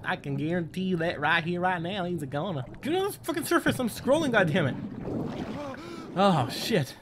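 A man with a fairly high voice hosts a casual gaming playthrough. He is excitable and swears freely, addressing the game character directly. Water and boat sounds from the game are clearly audible behind him. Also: moderate pace, slight background noise. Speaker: gaming video host. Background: game audio of boat and water.

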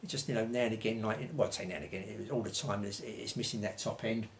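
A man's voice: lowish voice, fast. A man, chatting casually and ramblingly to camera in an unscripted review, peppering his talk with little tags. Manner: chatty and rambling, frequent "you know" and "like" tags. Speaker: vintage audio reviewer. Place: small domestic room indoors, seated at a table. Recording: clear background noise, room ambience.

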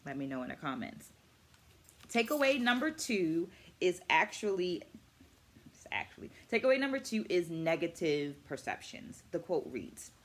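Middle aged lady with a low voice chatting thru a book review vlog, careful wording, leans on key words. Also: husky. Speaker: educator and book vlogger. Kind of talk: reflective book-review vlog, talking to viewers. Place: small furnished room indoors.

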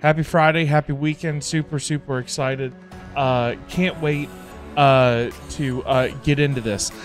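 A middle-aged man chatting casually on a livestream in a halting, repetitive, informal way. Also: medium pitch, fairly even intonation. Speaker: livestream host. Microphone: close desk microphone. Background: background music.

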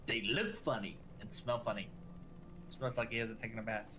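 A person joking with teasing, deadpan banter alongside a gameplay stream. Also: mid-range voice, normal speed, background noise. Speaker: co-player in chat.

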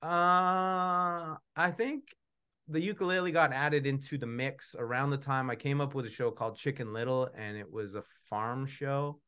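A man's voice: medium pitch, fairly even intonation, moderate pace, bright, forceful tone, smooth. A middle-aged man answering questions on a video call, chatting casually in a rambling, self-effacing way. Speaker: puppeteer answering audience questions. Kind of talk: casual chat. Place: indoor room at home, video call.

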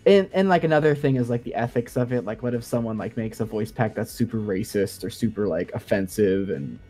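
A man's voice: on the low side, brisk, mellow. Man casually explaining tech, music behind. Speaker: podcast guest co-host explaining game sound design.

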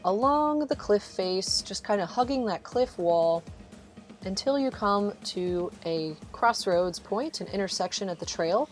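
A woman's voice: mid-range voice, a little mellow. A woman giving a casual, encouraging voice-over guide as a travel vlog host, speaking directly to the listener. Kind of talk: casual instructional voice-over narration. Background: background music.

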